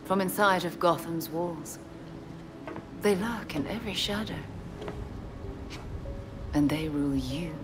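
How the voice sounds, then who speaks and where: A woman playing a poised, menacing League schemer in a video game cutscene, speaking formally and deliberately with cool condescension. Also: fairly low pitch, over music. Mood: ominous, solemn.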